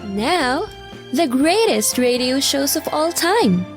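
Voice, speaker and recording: Woman, dramatic radio intro announcer, high swooping voice, slow pace, loud music. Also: very animated.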